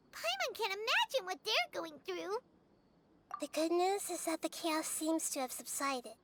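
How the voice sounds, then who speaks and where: A woman voicing a small, childlike, excitable and caring fairy companion in a video game. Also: extremely high pitch, measured pace, noisy background, full character voice. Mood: shaken, sympathetic. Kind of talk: cartoonish video game voice acting. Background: soft game music.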